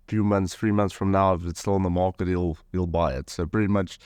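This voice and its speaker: A man in his thirties with a South African accent, a hunter telling his story as a podcast guest in a relaxed, rambling way. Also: low-pitched, fairly quick, smooth, dry recording, studio quality. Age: 30s. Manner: rambling, many fillers and "pretty much".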